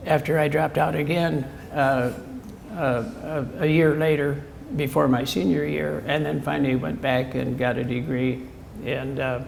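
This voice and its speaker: A man recalling his schooling and research in a halting interview, full of fillers. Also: a little high, little pitch movement, gravelly. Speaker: interviewee, scientist. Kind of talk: reflective interview answers. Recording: echoey room with faint noise.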